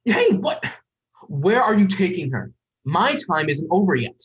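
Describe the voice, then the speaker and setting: A performer playing a flustered, defensive young psychologist in a dramatic script reading, halting and self-questioning. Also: mid-range voice, very animated, slightly rough, heavily acted. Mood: indignant. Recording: dull, muffled recording.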